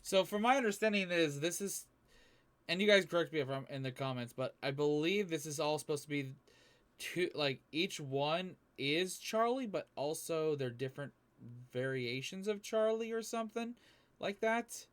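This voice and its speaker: A man's voice: fairly high pitch, lively intonation, normal speed, a bit bright, clear, resonant tone. A man hosting a reaction video, commenting casually.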